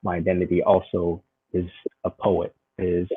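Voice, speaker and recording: A man's voice: sits low, monotone, moderate pace, breathy edge, dry recording. A man in his forties, a guest in a conversational interview, speaking thoughtfully with frequent fillers and restarts over a video call. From United States. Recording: tinny phone-like video call.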